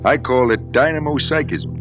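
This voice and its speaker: An actor playing an anxious, brooding professor in a radio drama, speaking formally and hesitantly in a troubled way. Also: male voice, medium pitch, normal speed, highs cut off, over music, close to an everyday voice. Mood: measured, explaining. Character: anxious, brooding male professor with destructive mind power. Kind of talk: mid-century radio drama acting.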